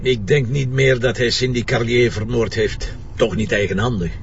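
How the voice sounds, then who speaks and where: An actor with a Flemish Belgian accent playing a shrewd, teasing police commissioner in a radio drama, wry and colloquial in manner. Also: male voice, mid-range voice, fairly even intonation, normal speed, far from the mic, muffled recording, a little hiss or hum, natural voice. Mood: musing, confident. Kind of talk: naturalistic radio drama dialogue.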